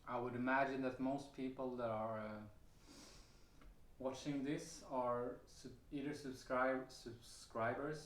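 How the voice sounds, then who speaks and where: A man with a Swedish accent speaks slowly and haltingly, stopping often on fillers and restarting his sentences. His voice sits at an ordinary middle pitch, and the room around him adds a noticeable echo. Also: steady tone. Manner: hesitant, many fillers and restarts, occasional soft laughs. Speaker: vlogger sharing personal experience. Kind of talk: casual personal testimony to camera. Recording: roomy echo.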